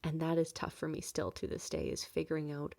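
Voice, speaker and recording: Low, gravelly-voiced woman in her late twenties. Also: expressive, fairly quick, dark tone. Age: late 20s. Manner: candid, rambling, frequent fillers and light laughs. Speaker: podcast host. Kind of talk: personal reflective monologue. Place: at home.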